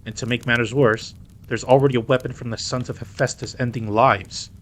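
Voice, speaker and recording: A man's voice: mid-range voice, fairly even intonation, a little fast, fairly bright tone, lightly acted. A man playing a dominant, teasing mafia boss in a roleplay audio drama, commanding and sometimes slipping into Spanish. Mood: indignant, serious. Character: dominant, teasing male mafia boss, indignant yet protective.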